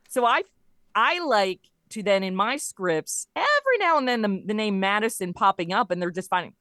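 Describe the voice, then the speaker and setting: A woman speaking as an interview guest in casual chat, telling stories in an animated way and acting out quoted lines. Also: mid-range voice, no echo, studio quality. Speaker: guest, writer of a comedy audio show.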